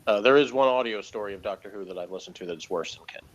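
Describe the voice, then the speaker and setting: Low voiced guy rambling thru a casual review, blunt and opinionated. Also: rapid-fire, muffled recording. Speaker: co-host of an episode review show.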